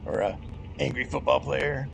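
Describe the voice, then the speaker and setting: A man's voice: mid-range voice, fairly even intonation, moderate pace, gravelly, a little hiss or hum. A middle-aged man chatting casually over game voice chat, rambling, laughing often and swearing freely. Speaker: gamer in voice chat.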